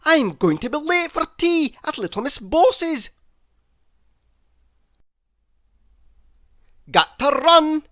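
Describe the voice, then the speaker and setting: A woman's voice: sits high, very animated, a little slow, fairly bright tone, voice pushed up, heavily acted. A woman voicing a jittery, cowardly little male storybook hero, exclaiming in a flustered way.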